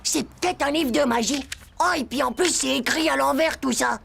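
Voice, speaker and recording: A woman voicing a brash, hot-headed, mischievous schoolboy in a cartoon, with a gravelly voice and cheeky, emphatic, slangy delivery. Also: high-pitched, moderate pace, fairly bright tone, a little hiss or hum, full character voice. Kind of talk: animated cartoon dialogue. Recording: music playing behind the voice.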